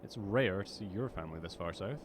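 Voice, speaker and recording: A man's voice: deep voice, lively intonation, a little fast, noisy background. A man playing a learned, skeptical ancient vampire advisor in an audio drama, formal, dry and probing. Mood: polite, skeptical.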